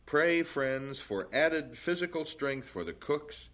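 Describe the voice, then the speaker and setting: Casting: earnest young-family missionary husband, plain-spoken and devoted, animated intonation, old-time radio style. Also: male voice, mid-range voice, slow. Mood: thoughtful, resolved.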